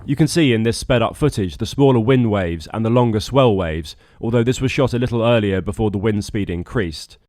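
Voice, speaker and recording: A man with a fairly low voice narrates a travel vlog. His delivery is scripted and wry, with dry understatement. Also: fairly quick, hardly any pauses, darkish tone, dry recording. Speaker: video narrator, boat skipper.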